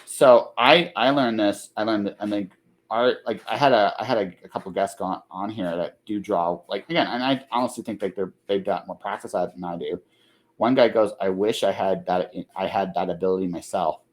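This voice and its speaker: A man's voice: on the low side, normal speed. A man hosting a podcast in casual chat, rambling as he repeats and rephrases himself. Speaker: podcast host.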